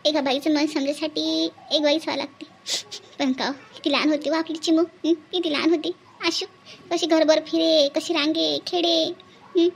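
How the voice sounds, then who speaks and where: A woman's voice: very high voice, creaky, slight background noise. A woman voicing a doting, grumbling middle-aged village mother in a cartoon family skit, with a Varhadi Marathi accent. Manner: homely, scolding and fussing with rhetorical questions.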